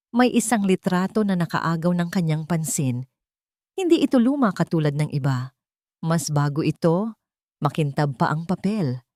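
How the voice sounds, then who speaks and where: Low-pitched Filipino woman narrating dramatically. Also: a little mellow, no room sound, studio quality. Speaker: story narrator. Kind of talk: scripted dramatic narration of a romance story.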